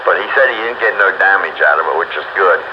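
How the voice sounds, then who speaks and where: A man's voice: low voice, animated, fast talker. A man chatting casually over two-way radio, folksy and exclamatory. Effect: two-way radio transmission. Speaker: amateur radio net participant. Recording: muffled, noisy ham radio transmission.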